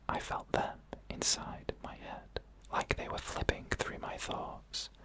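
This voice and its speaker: An ASMR storyteller narrating an eerie first-person tale entirely in a hushed whisper, with slow, deliberate phrasing and pauses, close to the microphone.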